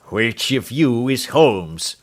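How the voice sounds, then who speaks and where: Angry old bully snarling and barking, a guy reading it out, booming and forceful. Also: expressive, takes it slowly. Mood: demanding. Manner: loud, blustering, snarling. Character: fierce, furious old man, threatening bully.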